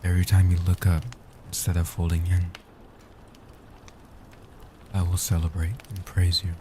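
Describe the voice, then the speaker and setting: A man plays a gentle, age-old vampire guardian in a comfort audio roleplay. His voice is very low and extremely dark, hushed and soft-spoken. He speaks slowly, solemnly and soothingly in formal phrases, over faint background noise. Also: completely flat delivery, lightly acted. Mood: tender, reassuring. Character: gentle protective ancient male vampire guardian.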